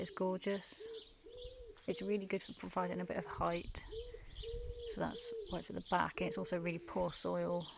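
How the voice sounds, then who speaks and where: A woman from the UK, croaky from just waking up, giving a quiet, half-whispered tour of her back garden in the early morning. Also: lowish voice. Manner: hushed, half-whispered talk. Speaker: gardening vlogger. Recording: noisy outdoor recording with birdsong.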